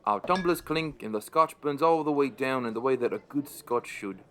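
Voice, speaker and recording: A man's voice: mid-range voice, normal speed, fairly bright tone, close to an everyday voice. A man playing a middle-aged pub landlord who narrates a radio play in a dry, understated, wry way. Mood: wry, companionable.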